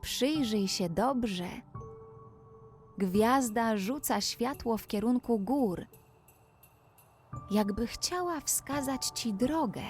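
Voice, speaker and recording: A woman narrating a scripted children's bedtime story, speaking deliberately and addressing the young listener directly. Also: fairly high pitch, expressive, a little mellow. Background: music.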